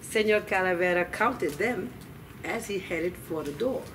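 An older woman telling stories to children at a library, deliberate and expressive, with dramatic pauses. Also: fairly low pitch. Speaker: library storyteller. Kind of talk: children's story time, expressive read-aloud. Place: library children's room, indoors. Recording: distant mic, noisy room ambience.

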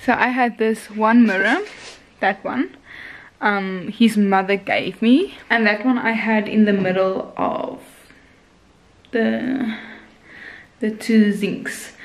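A young woman vlogging at home, chatting casually to the camera while filming on a handheld phone in her kitchen and bathroom. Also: on the high side. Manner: rambling, talks straight to the camera while cooking. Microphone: handheld phone camera at arm's length.

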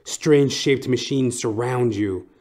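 A man narrating a scripted horror story in a deliberate, dramatic, suspenseful manner. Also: mid-range voice, a little mellow. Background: music.

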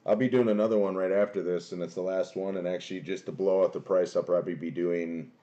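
A young man with a booming voice streaming a card box break from a small home room, chatting casually and greeting viewers by name. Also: steady tone, fast talker, mellow, dull audio. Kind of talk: casual live-stream chat.

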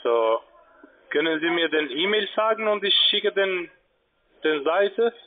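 A man's voice: high voice, lively intonation, measured pace. A man who is not a native German speaker, working as a call-center agent on a phone sales call. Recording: noisy, clicking, tinny phone line. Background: call-center floor noise.

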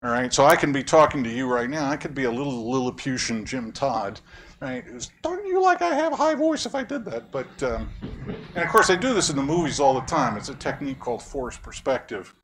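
A man's voice: mid-range voice, big pitch swings, brisk, a bit bright. A man giving a lecture, explaining ideas conversationally, quizzing audience members and cracking wry jokes. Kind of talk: academic lecture with audience questions. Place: lecture room.

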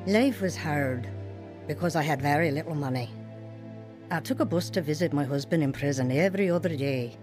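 A woman's voice: low voice, animated, brisk, music in the background. A woman playing a vulnerable, earnest young wife and mother in a radio drama. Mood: somber, reflective. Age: young adult. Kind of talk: radio drama acting and first-person narration.

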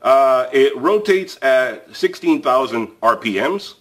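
A man's voice: medium pitch, expressive, slow pace. Male host, casual tool-review chatter, plain-spoken, mid-range voice, loose fillers and restarts. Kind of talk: casual product review to camera. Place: indoors at a table, filmed from above.

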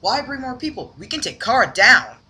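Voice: mid-range voice, very animated, brisk, pushed and bright. An amateur voice actor playing an irritable, whiny talking cup hero in a homemade cartoon. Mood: grudging. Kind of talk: amateur animation voice acting.

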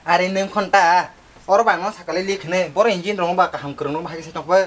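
A man's voice: sits high, steady tone, fast, fairly bright tone. A young man with a Kokborok accent acting in an amateur short film as a villager who is chatting casually. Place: outdoor roadside shop shelter. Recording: noisy outdoor camera sound.

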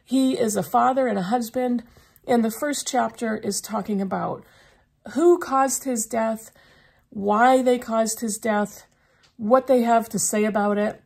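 A woman's voice: on the high side, steady tone, measured pace, gravelly, darkish tone. A middle-aged woman chatting casually to camera as a book vlogger, her voice slightly froggy from a lingering cough. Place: small indoor room lined with bookshelves.

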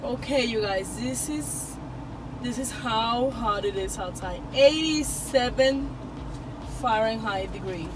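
A woman's voice: a little high, slow, background noise. A woman in her thirties chatting to her phone camera in a casual family vlog, rambling with lots of fillers, first in a kitchen and then in a car. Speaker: family vlogger, mother of three. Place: home kitchen, then inside a parked car. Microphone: phone camera held at arm's length.

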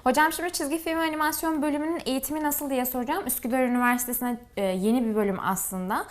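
A woman's voice: high voice, fairly even intonation, fast. A woman hosting a programme, interviewing in a polite broadcast style. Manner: courteous, with frequent short acknowledgements.

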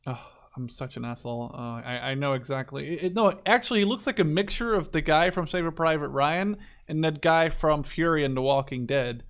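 A man's voice: fairly high pitch, brisk, few pauses, fairly bright tone. A man in his twenties giving casual gameplay commentary, rambling, interrupting himself and swearing now and then. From Netherlands. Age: 20s. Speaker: gaming YouTuber.

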